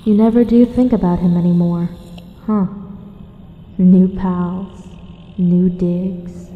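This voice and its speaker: A woman's voice: on the low side, fairly even intonation, slow. A woman playing a cruel phantom young woman in an audio drama, mocking and sardonic as she haunts a survivor. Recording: cavernous reverb, thin phone-like band.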